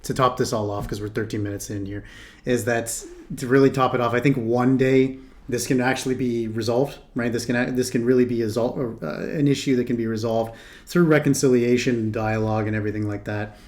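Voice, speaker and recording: A man's voice: medium pitch, steady tone, fairly quick, darkish tone. Canadian man musing through a reaction. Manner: thoughtful, hesitant, rambling. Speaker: video reactor. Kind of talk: casual reaction commentary.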